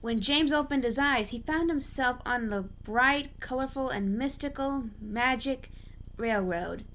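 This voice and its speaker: A woman's voice: sits high, a little slow, fairly bright tone. A woman reading a story aloud as an amateur narrator, often stumbling and rereading phrases.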